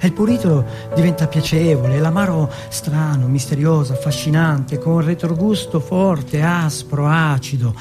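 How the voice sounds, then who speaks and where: A man performing dramatic autobiographical narration, with deliberate, lyrical phrasing. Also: fairly high pitch, over music. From Turin, Italy. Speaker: monologue performer.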